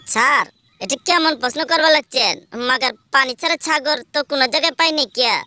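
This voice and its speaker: A cheeky cartoon schoolboy, voiced by a woman, answers back in quirky mixed slang. The voice is high and very bright. He talks with a mischievous edge. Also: fast, no room sound. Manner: cheeky, mixing dialect words.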